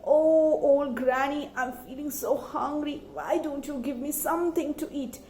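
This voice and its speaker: A weary, crafty soldier from a children's folktale, as a woman storyteller puts him on. The voice is high and slow, with polite coaxing. It sounds in an echoey room. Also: a little mellow, slight background noise. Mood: pleading. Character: hungry, tired soldier, polite and crafty man.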